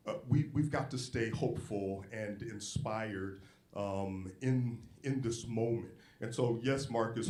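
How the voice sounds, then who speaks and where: A man speaking on a community panel. He talks in a measured way and pauses often with "uh". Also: on the low side, mellow. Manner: measured, with frequent "uh" hesitations. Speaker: panelist from a civic organization. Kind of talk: panel remarks. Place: college event hall. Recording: echoey hall.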